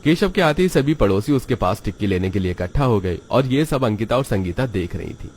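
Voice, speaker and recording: A man's voice: on the low side, expressive, fairly quick, darkish tone, a little hiss or hum. A man narrating a children's cartoon story from a script.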